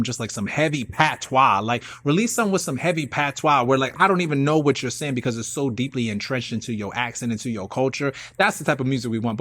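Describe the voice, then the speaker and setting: A man hosting a show casually, with hype, slangy shout-outs and repeated catchphrases. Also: medium pitch, no room sound. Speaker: radio show host and performing artist.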